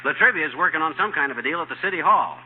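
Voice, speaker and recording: An actor playing a boastful, scheming small-town husband who wisecracks in slangy talk in a 1940s radio comedy. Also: male voice, a little high, expressive, rapid-fire, breathy edge, phone-quality sound. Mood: chatty, enthusiastic. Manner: brash, slangy, cheerfully bragging. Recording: thin, noisy 1940s radio broadcast.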